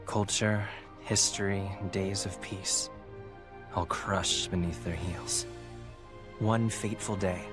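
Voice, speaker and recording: A man playing the solemn storyteller of a dark fantasy game, reading scripted narration deliberately. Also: sits low.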